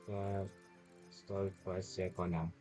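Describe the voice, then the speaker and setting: A man doing casual livestream game commentary in mumbled, halting short phrases. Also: sits low, flat delivery. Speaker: game streamer. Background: video game music.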